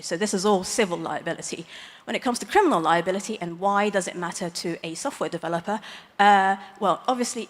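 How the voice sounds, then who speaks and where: A woman lawyer giving a conference talk, explaining legal ideas plainly with light, self-aware humor. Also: fairly low pitch. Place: large conference hall stage. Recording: long reverb of a big hall.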